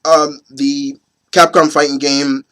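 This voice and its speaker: A hoarse man in his thirties, getting over a cold, hosting his opinion video in a rambling, casual way with many fillers and restarts. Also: on the high side, little pitch movement, slow pace, fairly bright tone. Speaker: host of an opinion video series. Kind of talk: casual chat to camera.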